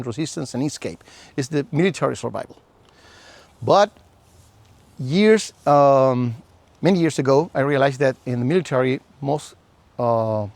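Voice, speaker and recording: A man's voice: fairly high pitch, darkish tone, dry recording. A man from Chile with a Chilean Spanish accent, Spanish his first language, teaching a survival class at an outdoor campsite, earnest and sometimes searching for words. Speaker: survival instructor.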